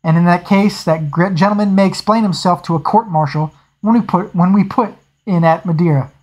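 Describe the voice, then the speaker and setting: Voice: mid-range voice, a little fast, a little mellow, close to an everyday voice. A reader voicing a stern naval captain. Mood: angry. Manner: formal, clipped, angry when challenged.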